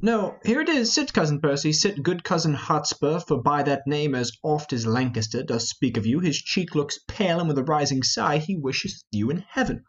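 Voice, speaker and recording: A man's voice: a little high, expressive, normal speed, hardly any pauses, breathy edge, lo-fi sound. A man playing a proud, boastful mystic lord in a Shakespearean play reading, declaiming grandly. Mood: grandiose.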